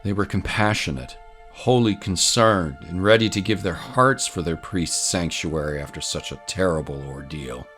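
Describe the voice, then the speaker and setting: A man's voice: low-pitched, moderate pace, fairly bright tone. A man narrating scripted dramatic fiction as a storyteller. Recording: music bed under the voice. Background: music.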